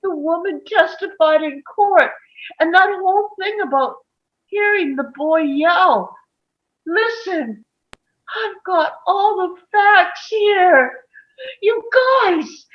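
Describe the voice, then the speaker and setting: Woman acting a furious juror, high, swooping voice. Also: animated, heavily acted. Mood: anguished ranting. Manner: ranting, halting and repetitive, swinging to anguished shouts. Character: stubborn, furious man on a jury, breaking down. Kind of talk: dramatic table read. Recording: muffled, roomy home recording.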